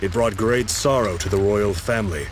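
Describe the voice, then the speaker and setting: A grave, learned man in a fantasy game, voiced by an actor, low and solemn. He speaks in a measured way over clearly audible rainy ambience. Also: noisy background, lightly acted. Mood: solemn, bitter. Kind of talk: video game voice acting.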